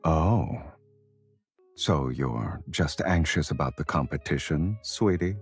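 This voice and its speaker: Smug flirty game love interest, super low slow voice, breathy, music behind. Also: male voice, expressive, dry recording, heavily acted. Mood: teasing. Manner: unhurried, playful teasing. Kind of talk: romance game voice acting.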